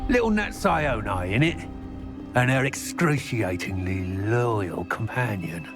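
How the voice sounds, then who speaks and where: Guy doing a sneering crime boss wizard, real slow, pitch all over the place. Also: expressive, music in the background, lightly acted. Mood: mocking. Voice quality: gravelly, slow and mocking. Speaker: villain. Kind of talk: video game voice acting.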